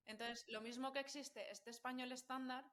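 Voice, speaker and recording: Woman, Madrid Spanish accent, bright clear tone, explanatory, podcast guest. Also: sits high, steady tone, normal speed. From central Spain. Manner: explanatory, hesitant fillers, laughs often. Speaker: guest linguist. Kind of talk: casual podcast chat.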